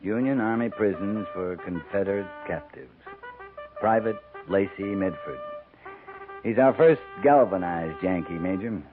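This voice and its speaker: An actor playing a plain-spoken, steady cavalry captain in a 1950s radio western, terse and dryly understated. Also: male voice, deep voice, unhurried, music in the background. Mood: dry, measured. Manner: terse, folksy, dry understatement. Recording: tinny 1950s radio broadcast recording.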